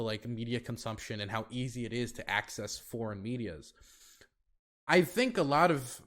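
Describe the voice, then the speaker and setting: A man's voice: mid-range voice, a bit bright. Rambling American man hosting a podcast. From United States. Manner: rambling, many fillers and restarts. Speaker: podcast host. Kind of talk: casual podcast chat.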